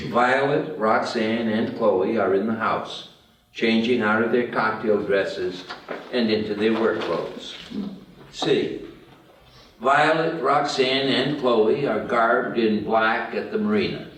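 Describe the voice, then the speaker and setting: A man's voice: lowish voice, slow, pauses often, fairly bright tone. A man reading scripted screenplay stage directions as the narrator at a table read. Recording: very echoey room, distant mic.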